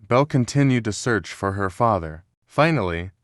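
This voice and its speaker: Low-voiced man narrating a storybook. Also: expressive, moderate pace, dry recording, studio quality. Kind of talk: scripted narration.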